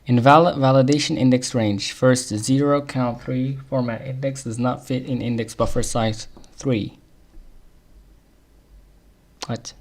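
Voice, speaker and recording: A man with an Arabic accent and a gravelly, fry-laden voice talks himself through a stubborn bug on a live coding stream. He mutters half-finished thoughts and reads error messages aloud, breaking into short chuckles. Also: mid-range voice, steady tone. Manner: muttering to himself while debugging, quick chuckles.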